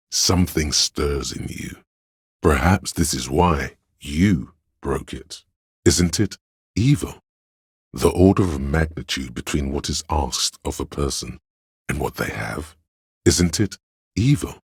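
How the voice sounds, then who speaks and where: A man voicing a detective's pretentious, arty inner voice for a video game, theatrical and deliberate, with a gravelly, husky tone. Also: extremely low pitch, no echo, studio quality, heavily acted. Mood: musing, wry, then dramatic, pained. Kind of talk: video game narration, second-person commentary.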